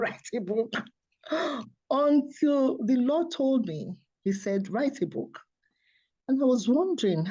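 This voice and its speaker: Earnest woman guest, warm mellow tone, dull lo-fi line. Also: medium pitch. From Nigeria. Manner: soft-spoken, earnest, laughs often. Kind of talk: interview guest telling her story.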